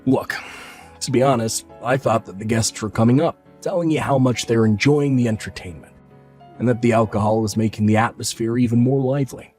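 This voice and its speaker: A man's voice: mid-range voice, a little fast, a little mellow, faint music behind, close to an everyday voice. A man voicing a careless younger brother who mocks his sister dismissively. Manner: dismissive, mocking.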